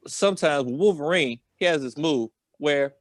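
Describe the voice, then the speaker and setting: A man's voice: fairly high pitch, normal speed, dry recording, clean studio sound. Casual American man spinning a loose story. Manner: loose, slangy, repeats filler phrases like "or whatever". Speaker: podcast host telling a personal story. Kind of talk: casual, rambling comedic storytelling.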